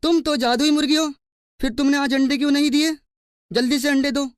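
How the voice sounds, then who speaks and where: A woman's voice: sits high, steady tone, fairly quick, no room sound, studio quality, natural voice. A woman voicing a greedy, suspicious, newly rich man in a cartoon story, with a dismissive, grumbling manner. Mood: angry, demanding. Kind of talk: children's cartoon story dialogue.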